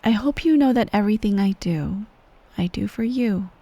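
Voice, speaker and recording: A woman playing the cold, manipulative ruler of an evil empire and stern parent in an ASMR bedtime roleplay, speaking in a measured, condescending way that often softens to a near-whisper. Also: medium pitch, expressive, dry recording. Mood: menacing, possessive. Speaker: voice actor in a roleplay audio. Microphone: close microphone.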